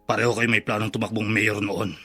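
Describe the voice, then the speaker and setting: An actor playing a grim, controlled man in a Filipino radio drama, measured and accusing. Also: on the low side, flat delivery, pushed and bright, soft background music. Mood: insistent. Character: grim, controlled man confronting a corrupt politician.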